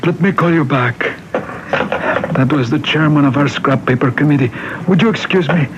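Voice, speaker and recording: A man playing a polite, higher-voiced parish priest. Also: moderate pace, natural voice. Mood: polite, businesslike. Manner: polite, hesitant, gently deferential. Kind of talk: old-time radio mystery drama acting. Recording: muffled vintage radio broadcast, background hiss.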